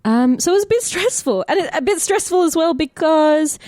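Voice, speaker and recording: A woman's voice: very high-pitched, lively intonation, normal speed, a little mellow, dry recording, clean studio sound. A woman with an Australian accent chatting casually and candidly as an actor on a podcast, swearing freely. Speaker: podcast cast member, actor. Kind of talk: casual chat.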